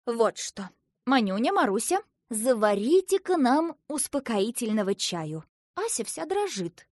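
A woman voicing a motherly, excitable storybook sweet bun with an emphatic, fussing manner. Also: a little high, a little slow, dry recording, studio quality, voice pushed up.

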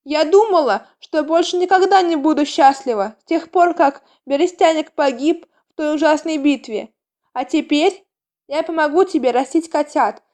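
A woman's voice: medium pitch, normal speed, gravelly, few pauses, natural voice. A woman voicing a tender, grateful female cat in an audiobook story, with a gushing, emotional manner. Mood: grateful, elated. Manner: gushing, soft-hearted.

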